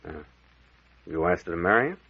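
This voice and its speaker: A man's voice: sits low, lively intonation, brisk, creaky, slightly rough, heavily acted. A man playing a shrewd, firm captain questioning a suspect in an old radio mystery, terse and authoritative. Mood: stern, skeptical. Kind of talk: 1940s radio mystery acting. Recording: muffled vintage radio drama recording.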